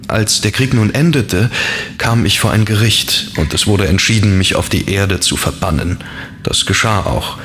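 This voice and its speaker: Gravelly, weary fallen angel. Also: male voice, lowish voice, a bit bright, slight background noise. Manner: confiding, sombre, weary. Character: melancholy, world-weary fallen angel in a man's body. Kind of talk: horror audio drama acting.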